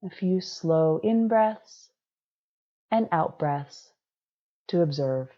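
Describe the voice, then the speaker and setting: A woman leading a guided meditation in a measured, instructive way, with inviting phrasing. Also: lowish voice, animated, lo-fi sound.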